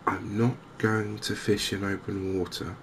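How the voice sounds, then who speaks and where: A man with an English accent giving soft, gentle, unhurried cozy ASMR commentary on a video game as the channel host. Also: fairly low pitch, flat delivery, gravelly, a little hiss or hum. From England.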